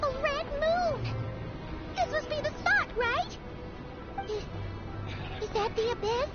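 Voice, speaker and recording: A woman voicing a tiny, excitable, anxious fairy companion in a video game, with a squeaky childlike voice. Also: slow, heavily acted. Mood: alarmed, curious. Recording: dull lo-fi game audio. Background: loud game music.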